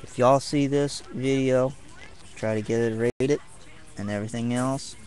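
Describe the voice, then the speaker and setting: A young man chatting casually to camera from a tent while camping, halting often with ums and pauses. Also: mid-range voice, steady tone, a little mellow. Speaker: martial arts vlogger. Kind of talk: rambling casual vlog.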